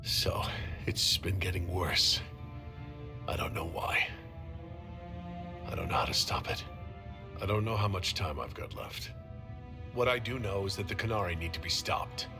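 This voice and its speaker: Low, weary, determined hero. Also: male voice, animated, unhurried, pauses often. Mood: strained, resigned. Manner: grave, resolute. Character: weary, determined male hero, a dying leader. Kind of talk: dramatic video game acting. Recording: loud music behind.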